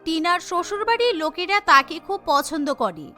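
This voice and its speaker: A woman's voice: extremely high pitch, sing-song, moderate pace, sharp tone. A woman narrating a scripted cartoon story as its storyteller. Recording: music behind the voice.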